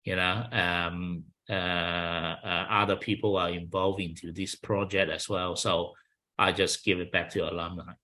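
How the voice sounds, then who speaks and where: A man with a Chinese accent speaks in a lowish, bright and forceful voice. He talks in a considered, unhurried way and fills his pauses with "you know". Light laughter comes through now and then. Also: level tone. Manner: thoughtful, frequent you-knows, light laughter. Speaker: kung fu instructor. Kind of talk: casual conversational interview.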